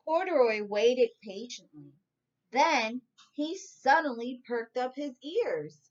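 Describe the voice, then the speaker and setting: Lady teacher doing storytime for small kids, really deliberate pace, kinda dull audio. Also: high voice, lively intonation. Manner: deliberate, instructive. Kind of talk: read-aloud storytelling for young children.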